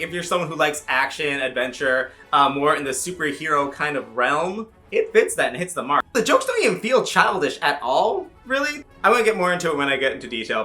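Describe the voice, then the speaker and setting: A man in his thirties giving a casual, comedic movie review, rambling excitedly with swearing and jokey asides. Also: a little high. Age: 30s.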